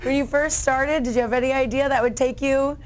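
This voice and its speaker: A woman's voice: sits high, fairly even intonation, brisk, slight background noise. A woman conducting a casual interview, speaking in an encouraging, affirming way. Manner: encouraging, frequent affirming responses.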